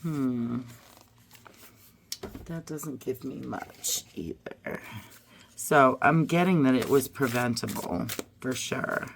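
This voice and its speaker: A hoarse woman getting over a cold, musing aloud as she pulls and reads tarot and oracle cards. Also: very deep voice, expressive, takes it slowly, long pauses, a little hiss or hum. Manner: musing aloud, drifting to soft murmurs. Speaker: tarot reader. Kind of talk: casual card-reading vlog.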